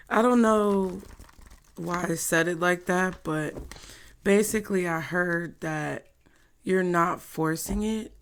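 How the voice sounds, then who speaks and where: A hoarse woman giving a casual, chatty tarot reading to her viewers. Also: on the low side. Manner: slow, chatty, laughs often. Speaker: tarot reader.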